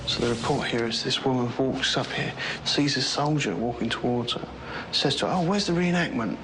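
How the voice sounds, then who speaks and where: A man investigating stone tunnels, speaking in an animated way and often dropping to a whisper. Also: mid-range voice, fairly quick, noisy background. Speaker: paranormal investigator.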